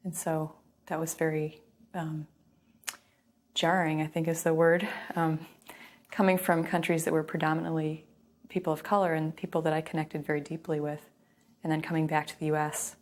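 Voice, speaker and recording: Low, even-toned woman reflecting. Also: normal speed. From United States, Western New York. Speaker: documentary interviewee. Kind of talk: personal storytelling, reflective interview testimony.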